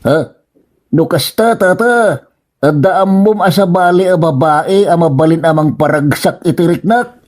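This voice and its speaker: Lovesick young Ilocano villager played by a man, higher-pitched, slow and hesitant, gullible, for Filipino radio comedy. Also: a little mellow. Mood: eager. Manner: halting, hesitant.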